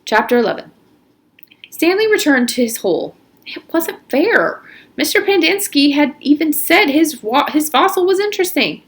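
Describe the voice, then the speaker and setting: A woman narrating a storybook aloud in an expressive, emphatic way. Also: high-pitched, normal speed.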